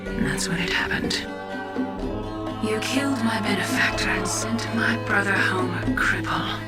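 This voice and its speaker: A woman acting a guilt-laden, vengeful scientist in a video game, speaking in a measured, grave, deliberate way. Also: medium pitch, natural voice. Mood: bitter, vengeful. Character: guilt-laden, vengeful female scientist confessing her past. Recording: loud music behind the voice. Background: loud dramatic game score.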